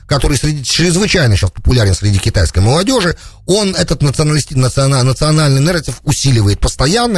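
Middle aged guy doing radio politics, talks fast, slightly husky voice, really close dry studio mic. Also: mid-range voice, no room sound. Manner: peppers speech with English terms. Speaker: radio political show host. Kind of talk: extemporaneous political commentary. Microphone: close studio broadcast mic on boom arm.

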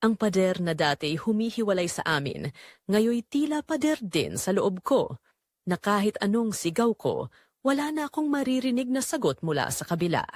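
Audio drama narrator: wistful young man female performer, earnest, dramatic, lively intonation, dry sound. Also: medium pitch, normal speed, no room sound. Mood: wistful, intimate. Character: wistful young man recalling a secret romance. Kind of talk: scripted first-person audio drama narration.

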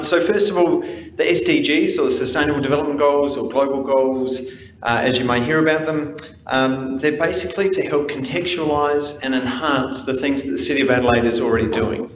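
A man's voice: medium pitch, a little hiss or hum. A young man giving an earnest deputation to a city council from a lectern, with frequent fillers. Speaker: deputation speaker. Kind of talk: formal address to council. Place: large ornate council chamber. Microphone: lectern mic, camera from behind. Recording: echoey chamber with long reverb.

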